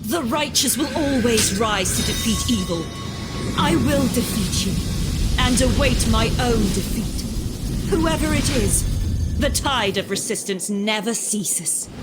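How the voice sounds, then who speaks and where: A young woman warrior defying a false god. Her high voice is fierce and declamatory, and she speaks slowly. Loud music plays behind her. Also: animated. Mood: resolute.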